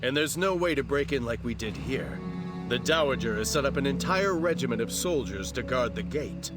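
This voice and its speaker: A man's voice: mid-range voice, lively intonation, moderate pace, natural voice. A man playing an earnest, exasperated rebel ally in a video game, speaking with an incredulous, urgent manner. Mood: worried, incredulous. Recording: music behind the voice.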